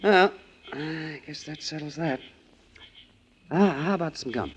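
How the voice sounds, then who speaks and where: An actor playing a wry, teasing amateur sleuth in 1940s radio crime drama style, with drawling hesitations. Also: male voice, fairly high pitch, unhurried, slightly rough. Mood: wry, probing. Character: wisecracking, coolly probing male amateur sleuth and sweet-talking suitor. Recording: muffled vintage 1940s radio recording.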